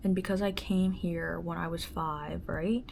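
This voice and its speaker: A woman hosting her own podcast in a reflective personal monologue, musing and halting with many fillers, often dropping into a soft half-whisper. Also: on the low side, fairly even intonation, creaky, slight background noise. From born in the Dominican Republic, raised in the United States. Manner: gestures with her hands while talking. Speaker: solo podcast host.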